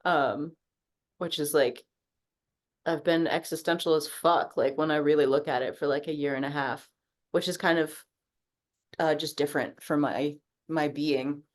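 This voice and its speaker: A woman's voice: sits low, fairly even intonation, unhurried. A woman sharing in a group coaching video call, talking in a casual, reflective way, full of fillers and easy swearing. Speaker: coaching participant.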